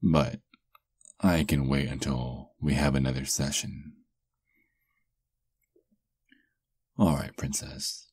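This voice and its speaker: A man playing a doting, possessive vampire lover in an intimate ASMR roleplay, murmuring softly and coaxingly. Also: rumbling low, steady tone, a little slow, breathy edge, dry recording, clean studio sound, full character voice. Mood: hungry, protective.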